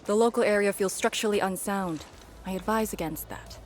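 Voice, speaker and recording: A woman playing a stoic, battle-worn female field leader in a video game, speaking gravely and in measured phrases. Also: mid-range voice, faint music behind, close to an everyday voice. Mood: businesslike.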